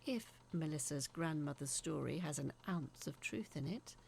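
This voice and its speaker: A woman telling a spooky first-person story in a dramatic, uneasy, confiding way, sometimes dropping to a hush. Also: low voice, lively intonation, moderate pace, creaky, a little hiss or hum. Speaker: narrator of a horror audio story.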